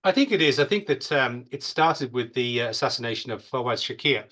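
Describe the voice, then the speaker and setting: A man's voice: medium pitch, lively intonation, fairly quick, a bit bright. A man giving off-the-cuff expert security analysis in an interview, conversational and emphatic, leaning on stock phrases.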